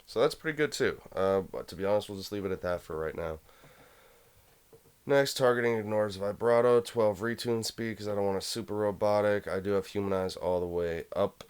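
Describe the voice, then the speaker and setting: A young man with a low voice casually walking through a home music production tutorial, rambling a bit with fillers. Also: normal speed, smooth. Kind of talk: casual screen-recorded tutorial.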